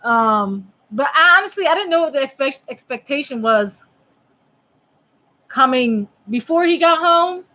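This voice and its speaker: Woman with a high bright voice, opens up slowly, searching for words. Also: animated, rough recording. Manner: reflective, open, searching for words. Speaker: podcast co-host. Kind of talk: candid casual chat.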